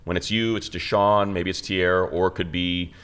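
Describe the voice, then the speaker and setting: A man's voice: low-pitched, fairly even intonation, normal speed, a bit bright, smooth. A man co-hosting a sports talk show, asking informal, rambling and enthusiastic questions in a casual interview.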